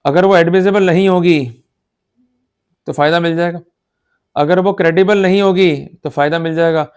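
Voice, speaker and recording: A man's voice: on the high side, steady tone, moderate pace, smooth. A man with an Indian accent giving an explanatory law lecture in a didactic, emphatic manner, often checking that listeners follow. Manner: didactic, emphatic, checks understanding with repeated short questions. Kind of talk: explanatory lecture in Hindi mixed with English legal terms.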